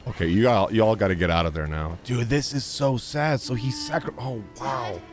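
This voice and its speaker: A man's voice: on the low side, moderate pace, over music. A man streaming a game, reacting casually in an exclamatory, slangy way. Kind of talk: casual live gameplay reaction.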